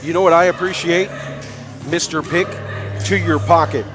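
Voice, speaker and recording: A man's voice: fairly high pitch, steady tone, moderate pace, noisy background. An American man hosting pregame basketball commentary on radio, emphatically rattling off statistics. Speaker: sports radio play-by-play host.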